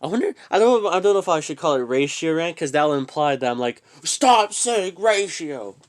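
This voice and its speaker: A man's voice: a little high, big pitch swings, moderate pace. A young man ranting casually to camera, rambling, swearing and trailing off into mumbles. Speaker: channel host. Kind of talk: casual reaction rant.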